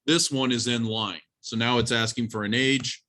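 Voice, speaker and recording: A man's voice: medium pitch, steady tone, normal speed, sharp tone, slight background noise. A man teaching an informal college programming class, talking through a live demonstration in a plain-spoken, instructive way and addressing students directly.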